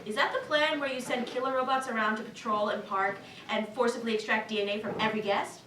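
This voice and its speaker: A woman's voice: a little high, steady tone, fast, close to an everyday voice. A woman playing an exasperated, responsible boss in a staged reading of a cartoon script. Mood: dry. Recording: distant echoey room, faint noise.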